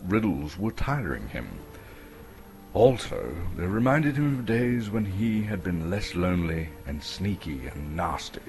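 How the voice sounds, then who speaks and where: A man from Canada narrating a story as a theatrical, expressive dramatic reading. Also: sits low.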